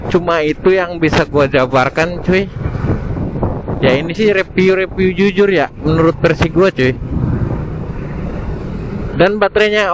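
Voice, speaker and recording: A man's voice: high voice, highs cut off, background noise. A man chats in a casual, slangy, rambling way as a motorcycle vlogger, recorded outdoors through a helmet intercom mic while riding. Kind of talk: casual motovlog chat, product review. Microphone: intercom camera mic inside full-face helmet.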